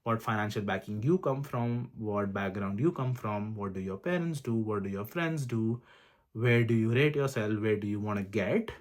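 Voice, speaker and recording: A man in his twenties, from India, giving earnest, casual advice to camera. He lists things one by one and fills pauses with frequent ums. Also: lowish voice. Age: 20s. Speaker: vlogger sharing advice. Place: room with awards on display.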